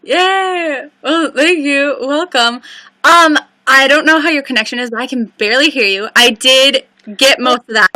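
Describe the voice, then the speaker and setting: A woman's voice: high-pitched, normal speed, bright, forceful tone, no echo. A woman hosting a casual livestream, often laughing nervously and apologizing while fixing a call. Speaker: livestream host.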